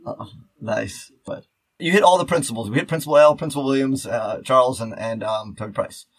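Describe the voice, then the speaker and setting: Man, podcast co-host, teacher, mid-range voice, casual chat, rambling halting delivery. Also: medium pitch, no echo, poor audio.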